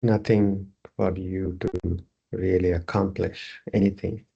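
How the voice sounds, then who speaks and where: Low, husky man guiding relaxation. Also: dull audio. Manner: slow, calm, with spare short phrases. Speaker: yoga teacher. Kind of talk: guided relaxation meditation instruction.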